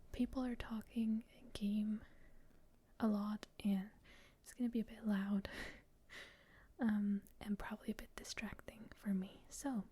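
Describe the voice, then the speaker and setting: Woman, whispering, breathy, mellow dark tone, shy, rambling game commentary. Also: fairly high pitch. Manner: soft, shy and rambling, with frequent laughs. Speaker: gamer.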